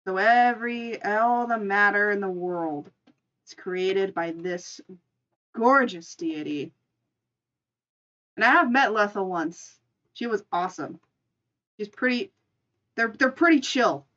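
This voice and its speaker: A woman's voice: mid-range voice, slow pace, long pauses, fairly bright tone, lo-fi sound. A woman streamer casually telling an improvised story and chatting with her live audience in an informal, chatty way. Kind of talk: casual livestream storytelling and chat.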